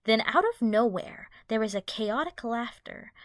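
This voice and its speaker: A woman's voice: a little high, animated, a little slow, no echo, studio quality. A woman reading scripted story narration for a fan fiction audio play.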